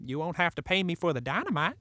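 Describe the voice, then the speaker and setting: Excitable, swooping Old West explosives seller. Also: female voice, fairly low pitch, fast talker, no echo, clean studio sound. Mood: eager. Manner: folksy, whooping, theatrical. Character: gleeful, excitable Old West dynamite seller named Spots. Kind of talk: audio drama acting.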